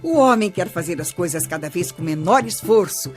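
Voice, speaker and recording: An actress playing a kindly older Brazilian grandmother who teaches through stories in a children's radio drama, measured and expressive. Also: fairly low pitch, natural voice. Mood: patient, instructive. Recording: music bed under voice.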